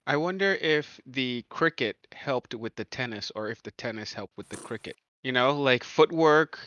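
A man's voice: medium pitch, expressive, normal speed, a bit bright, no room sound, highs cut off. A man co-hosting a casual sports podcast, easygoing and agreeable.

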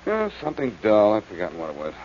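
An actor playing a breezy, wisecracking sleuth with a flippant manner in an old-time radio drama. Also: male voice, fairly low pitch, huge pitch swings, quick pace, darkish tone. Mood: breezy evasive. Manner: flippant, dry. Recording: dull old radio broadcast, noisy background.

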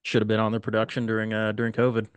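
A man's voice: lowish voice, monotone, racing, clean tone, dry recording. A man in his late twenties, a guest on a podcast, talking casually in a laid-back way. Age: 20s.

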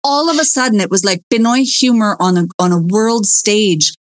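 A woman's voice: mid-range voice, lively intonation, moderate pace, no room sound, clean studio sound. A woman who grew up in the Philippines and the United States, hosting a podcast interview in a blunt, laughing way, swearing freely. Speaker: podcast host. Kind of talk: casual interview chat.

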